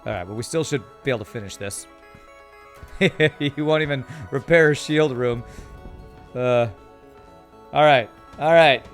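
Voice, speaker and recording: A male streamer with a calm, soothing voice that has gone a little tired after a long session. He chats easily over the game, reading comments aloud, with faint game music playing softly behind him. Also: medium pitch, a little slow, pauses often. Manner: chatty, reads chat aloud, laughs often. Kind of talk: casual live-stream commentary.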